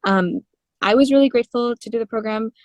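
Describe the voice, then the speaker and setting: Guest singer-songwriter, woman in her twenties from India, animated storyteller, mid pitch, dry close mic. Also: a little fast, no echo. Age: 20s. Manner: animated storytelling with frequent laughs and fillers. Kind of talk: conversational interview.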